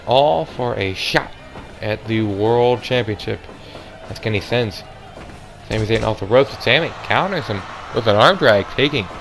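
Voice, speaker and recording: A man doing hoarse, excitable play-by-play commentary on a wrestling match, calling moves and near-falls. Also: mid-range voice. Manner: excitable, calls moves and counts.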